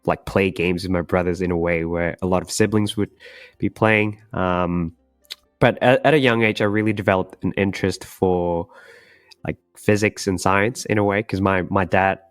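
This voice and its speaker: A man's voice: sits low, fairly even intonation, normal speed, dry recording, clean studio sound, soft background music. A young man from Malaysia, a startup co-founder, chatting casually as a podcast guest. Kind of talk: casual self-introduction in an interview.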